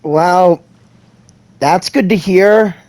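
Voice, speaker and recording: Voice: medium pitch, drawn-out words, darkish tone, dry recording. A game player giving casual playthrough commentary, reacting aloud to story scenes.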